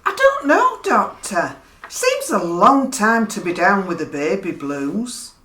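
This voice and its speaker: A woman's voice: medium pitch, very animated, takes it slowly, a bit bright, reverberant, a little hiss or hum. A woman in a radio play voicing a weary, depressed mother, hesitant and plaintive. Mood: despondent. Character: weary, depressed mother visiting her doctor. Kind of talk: amateur radio play acting.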